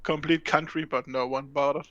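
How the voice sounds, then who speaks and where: A man's voice: a little high, flat delivery, normal speed, a bit bright, muffled recording. A man playing alongside the host, casually giving advice about ships and gear. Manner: helpful, gives game advice. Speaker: co-player. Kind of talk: casual game chat.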